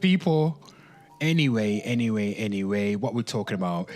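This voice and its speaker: A man hosts a casual online commentary show with faint music behind him. His pitch swings widely as he rambles, repeats himself for emphasis and slips into dramatic readings. The talk is slangy and addressed straight to his listeners. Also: animated, normal speed. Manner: slangy, frequently swearing, addressing listeners as people.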